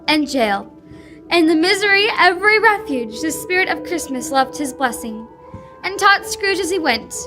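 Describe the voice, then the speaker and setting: A woman's voice: very high-pitched, pushed and bright. A young woman giving scripted narration for a radio drama.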